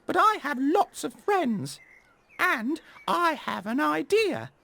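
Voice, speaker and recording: A tiny male mouse from a storybook, squeaky and very high, bright and eager to help. His voice jumps way above the storyteller's own. He talks in small, lively bursts. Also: takes it slowly, gravelly, full character voice.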